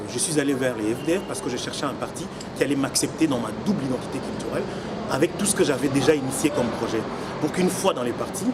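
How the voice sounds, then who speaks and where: A young man from Congo who lives in Belgium, giving deliberate, explanatory interview answers as an election candidate. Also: medium pitch. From Congo, living in Belgium since 1984. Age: young adult. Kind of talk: semi-formal interview answers. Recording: noisy location with background ambience.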